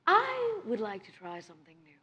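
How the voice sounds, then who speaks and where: Female tabletop player, fairly high register, casual live-table banter. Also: huge pitch swings, normal speed, pushed and bright, highs cut off. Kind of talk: casual game table chat. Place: live convention stage show.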